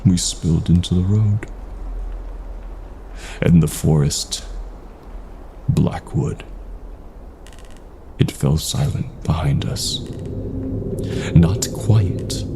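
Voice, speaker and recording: A man narrating a scripted horror story in a dramatic, suspenseful way with drawn-out pauses. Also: sits low, dark tone, slight background noise.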